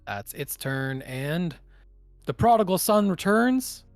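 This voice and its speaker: A man runs a tabletop game with a very lively, swooping delivery. He talks casually, half to himself at times, as game master. Music plays faintly underneath. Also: high voice, slow, no room sound. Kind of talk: narrating and refereeing a tabletop roleplaying game.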